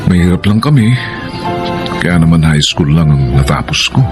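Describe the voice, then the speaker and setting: A man's voice: deep voice, animated, normal speed. A man with a Filipino accent playing a humble, hardworking, poor security guard in a radio drama, speaking politely and hesitantly. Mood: sincere, solemn. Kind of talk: Filipino radio drama acting and first-person narration. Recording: music bed behind the voice.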